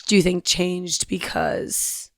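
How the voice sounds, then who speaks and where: A woman's voice: on the low side, very animated, slow, dry recording, studio quality. A young woman co-hosting a podcast in casual, earnest, filler-heavy chat. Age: young adult. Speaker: podcast co-host.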